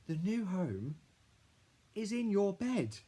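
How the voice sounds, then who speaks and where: A man voicing an excitable, mischievous little boy with a high, squeaky voice. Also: takes it slowly, slightly rough, exaggerated voice. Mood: chirpy.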